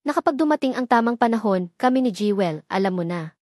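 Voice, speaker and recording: A woman voicing a sly young woman who speaks slowly and sweetly but with a cutting edge, read from a storybook. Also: on the high side, lively intonation, a little mellow, dry recording, studio quality, close to an everyday voice. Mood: needling. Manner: slow, honeyed speech with an edge.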